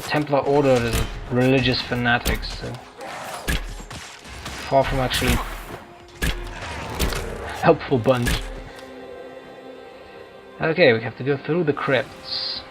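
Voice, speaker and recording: A man with a mid-pitched, creaky voice talks through a video game as he plays. His commentary is casual and wanders as he thinks aloud. Music plays clearly behind him. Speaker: gamer narrating own playthrough.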